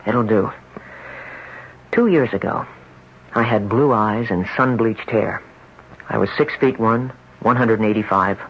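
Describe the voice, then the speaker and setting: Radio drama role: intense young beach bum who sold his soul, husky, on a dull old tape. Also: medium pitch. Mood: insistent, confiding. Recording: dull, lo-fi 1970s radio recording.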